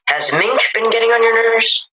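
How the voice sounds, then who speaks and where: A woman's voice: a little high, normal speed, sharp tone. A woman acting a concerned but firm husband in an amateur audio drama, on a slightly dull recording.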